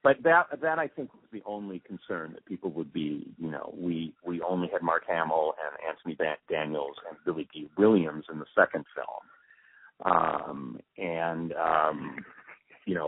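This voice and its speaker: An older man reflecting in an interview, speaking in a halting, thoughtful way with pauses mid-sentence. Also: sits low, husky, dry recording. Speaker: radio drama sound designer and producer being interviewed. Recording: muffled phone-like narrowband line.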